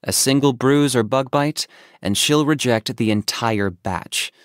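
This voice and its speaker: An actor voicing a stoic, businesslike young male saurian hunter in a video game, speaking in a measured, matter-of-fact deadpan. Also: medium pitch, dry recording, clean studio sound, natural voice. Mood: calm, dry. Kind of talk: scripted video game character monologue.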